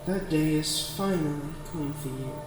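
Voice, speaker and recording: A man's voice: a little high, moderate pace, music in the background, close to an everyday voice. A man playing a courtly, possessive demon in an ASMR roleplay, speaking in formal, genteel phrases. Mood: gentle, persuasive. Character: suave, courtly male demon coveting a lonely person's heart. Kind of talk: ASMR roleplay, scripted dramatic monologue. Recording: very echoey, distant, clearly noisy ambience.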